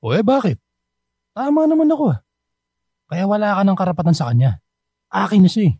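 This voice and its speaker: A man's voice: high voice, sing-song, a little fast, mellow, breathy edge, no echo, studio quality, full character voice. An actor playing a cocky man who taunts the husband over his wife. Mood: smug. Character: smug male lover, taunting. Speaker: Tado, the other man.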